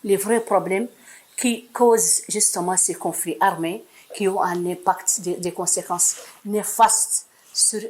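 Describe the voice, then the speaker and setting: A woman's voice: mid-range voice, fairly even intonation, slow pace, darkish tone, breathy edge, rough recording. A woman giving a spoken testimonial about a colleague in an interview.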